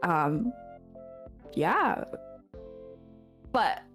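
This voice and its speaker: A woman co-hosting a casual streamer podcast, upbeat and animated, giggling between thoughts. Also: fairly low pitch, extremely slow, faint music behind. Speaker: VTuber podcast co-host. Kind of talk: casual livestream podcast chat.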